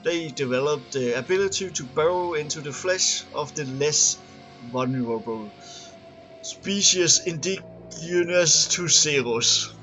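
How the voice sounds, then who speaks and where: A man's voice: a little high, unhurried, sharp tone, poor audio. A man reading game lore aloud as an amateur narrator, stumbling now and then and repeating words. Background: music.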